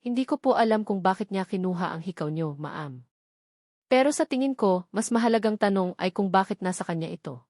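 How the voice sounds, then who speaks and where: A woman's voice: medium pitch, expressive, fairly quick, darkish tone, no room sound, clean studio sound. A woman voicing a wronged, emotional daughter posing as a housemaid in a story. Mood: cold, calm. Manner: firm, controlled, emotion-laden.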